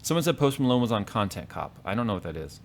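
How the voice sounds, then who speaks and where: A man's voice: on the low side, very animated, quick pace. A man in his thirties hosting a podcast, chatting casually and telling stories with a chuckle. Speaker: podcast host. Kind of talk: casual chat.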